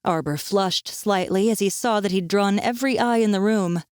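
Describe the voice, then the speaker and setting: A female audiobook narrator telling a fantasy story from a script. Her voice sits at an average pitch in a dry, close recording. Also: moderate pace, darkish tone, clean tone, no echo.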